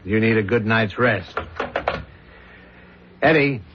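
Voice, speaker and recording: Dry, measured police detective, muffled. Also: male voice, lowish voice, background noise. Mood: calm, insistent. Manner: measured, drily matter-of-fact. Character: calm, confident police detective, smoothly insistent interrogator. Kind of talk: old-fashioned radio drama acting. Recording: dull old radio broadcast recording.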